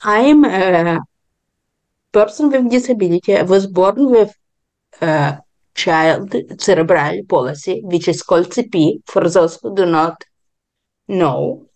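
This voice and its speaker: A woman from Israel, born in Ukraine, answering interview questions in deliberate, halting, non-native phrasing. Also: on the low side, a little mellow. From born in Ukraine, grew up in Israel.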